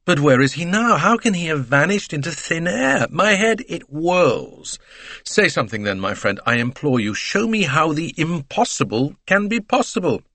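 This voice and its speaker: A man voicing an excitable middle-aged male train company director who pleads and exclaims in agitation in an audiobook. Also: a little high, moderate pace, no echo, voice pushed up, full character voice. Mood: agitated.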